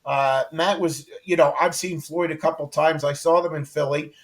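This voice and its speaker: An American man with a fairly high voice hosts a relaxed interview. He chats informally and with enthusiasm, like a colleague swapping memories. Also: moderate pace. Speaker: podcast host, former radio colleague. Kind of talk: casual interview chat.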